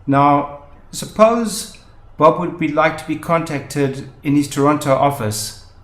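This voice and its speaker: A man presenting a software tutorial, explaining methodically step by step. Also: on the high side, slow pace. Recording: roomy, echoing voice-over.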